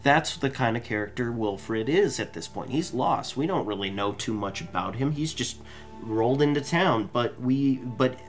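A man's voice: medium pitch, lively intonation, moderate pace, faint music behind. A man in his thirties co-hosting a comic book podcast, talking animatedly and often restarting his sentences. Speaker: podcast co-host. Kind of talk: casual comic book discussion. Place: small room full of collectibles. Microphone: studio mic on desk stand.

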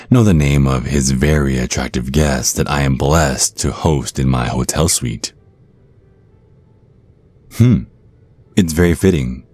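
A man's voice: extremely low pitch, a little mellow, dry recording, full character voice. A man voicing a suave, theatrical vampire stage magician, teasing and pompous, in an ASMR roleplay.

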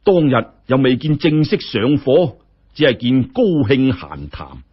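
Animated Hong Kong Cantonese male storyteller, husky. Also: medium pitch, a little slow, lo-fi sound. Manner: colloquial, theatrical, exclamatory. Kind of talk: animated scripted story narration.

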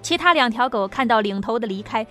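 A woman's voice: fairly high pitch, expressive, a little fast, a bit bright. A woman narrating an audiobook in a scripted storytelling style, reading suspenseful fiction. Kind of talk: scripted narration of an apocalyptic sci-fi novel. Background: background music.

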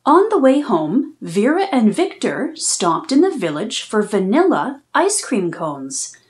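A woman reads a children's storybook aloud at an unhurried pace. Her pitch moves widely and expressively, and she phrases each line deliberately for small listeners. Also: sing-song. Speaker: children's read-aloud host.

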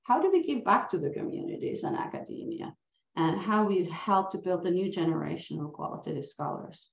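A middle-aged woman with a Finnish accent gives an academic lecture in a low, gravelly voice. She speaks earnestly and reflectively, posing question after question. The sound comes through a laptop mic on a video call, roomy and dull. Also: wildly swooping pitch, brisk. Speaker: university professor.

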